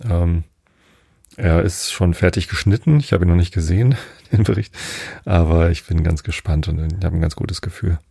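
A man from Germany, hosting a bedtime podcast in a casual, unhurried, meandering monologue, thinking aloud. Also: low voice, creaky, mellow, husky, dry recording, clean studio sound. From northern Germany. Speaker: podcast host.

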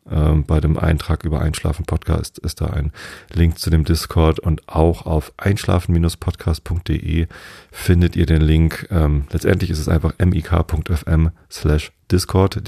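A man in his forties hosting a bedtime podcast, chatting slowly and rambling with many hesitations. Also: a bass voice, gravelly, warm tone, husky, no echo, studio quality. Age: 40s. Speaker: podcast host. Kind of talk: calm bedtime podcast chat.

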